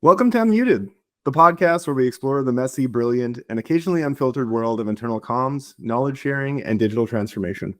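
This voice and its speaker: A man's voice: medium pitch, expressive, fast talker. A man hosting a podcast, asking questions in an upbeat, loose way with fillers. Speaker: podcast host. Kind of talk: casual interview hosting.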